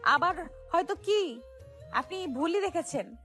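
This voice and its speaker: A very high young female voice acted by a woman plays a cocky pickpocket boss. She is sassy and defiant, arguing in street slang. It is audio story drama with soft music underneath. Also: lively intonation, a little slow, exaggerated voice. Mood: indignant, defensive. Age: young adult.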